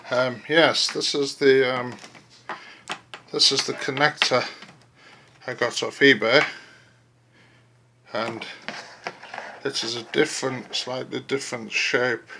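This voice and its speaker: Bright-voiced man, noisy phone recording. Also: medium pitch. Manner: halting, thinking aloud while working. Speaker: vlogger narrating a DIY car radio install. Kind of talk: casual hands-on video commentary. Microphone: handheld phone.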